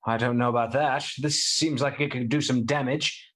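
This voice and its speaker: A man's voice: medium pitch, steady tone, normal speed, fairly bright tone, natural voice. A man playing a devoted, determined young husband in a radio play, speaking urgently and earnestly in distress.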